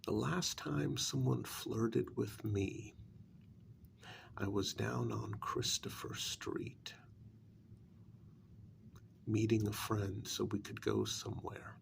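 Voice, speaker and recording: A man playing a brooding, bitter kidnapper in a soft-spoken ASMR role-play, gravelly and often slipping into a whisper, speaking deliberately with quiet menace. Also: fairly low pitch, fairly even intonation, a little hiss or hum, heavily acted. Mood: wry, reflective. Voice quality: gravelly, breathy, drifting into whisper. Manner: slow, deliberate, quietly menacing, with long pauses. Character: brooding, bitter male kidnapper confronting a captive demon.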